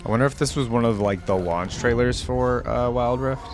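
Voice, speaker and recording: A man's voice: mid-range voice, moderate pace, over music. A man hosting a reaction video, commenting casually as he watches.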